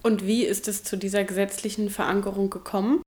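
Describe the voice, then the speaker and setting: A woman narrating a documentary feature in a clear, even reading voice. Her pitch sits mid-range, neither high nor low, and she poses rhetorical questions as she reads. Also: brisk.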